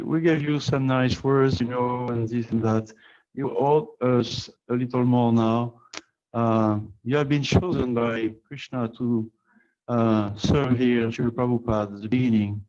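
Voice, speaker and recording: A man's voice: mid-range voice, darkish tone, muffled recording. A man hosting an online meeting, talking informally with loose phrasing and fillers. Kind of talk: casual remarks in an online meeting. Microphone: video call.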